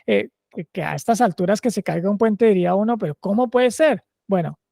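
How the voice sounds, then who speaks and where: A high-pitched man with a Caleño Colombian accent talks through his ideas as a podcast guest, often pausing to ask "right?" to check that he is being followed. His voice is close and dry, with no room sound. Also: animated, gravelly, mellow, no room sound. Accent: Caleño, Cali Colombian Spanish. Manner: explanatory, keeps checking in with "¿sí?". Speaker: guest, agile culture consultant. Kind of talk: conversational podcast explanation.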